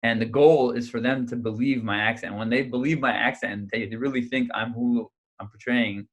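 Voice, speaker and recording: Animated young male comedian. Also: medium pitch, normal speed. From United States. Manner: animated storyteller, many fillers and restarts. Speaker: stand-up comedian guest. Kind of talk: casual interview chat. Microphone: video call.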